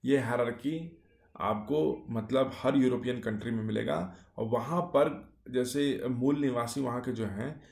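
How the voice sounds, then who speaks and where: A man's voice: mid-range voice, measured pace, vocal fry, darkish tone. Guy rambling through an explainer, lots of "uh"s and "why?"s, roomy echo. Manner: talks in short clauses, many fillers and rhetorical questions. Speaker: YouTube explainer. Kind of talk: casual explainer monologue. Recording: echoey room.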